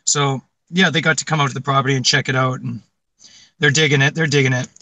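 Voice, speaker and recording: Bright-voiced man in his forties, chatting. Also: medium pitch, fairly even intonation, quick pace, no echo, rough recording. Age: 40s. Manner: rambling, laughs often, swears casually. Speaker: livestream co-host. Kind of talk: casual chat.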